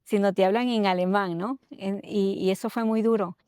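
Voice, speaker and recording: A middle-aged woman from Ecuador, telling her personal story haltingly as an interview guest, often checking in with tag questions. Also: mid-range voice, fairly even intonation, warm tone, clean tone, no room sound, studio quality.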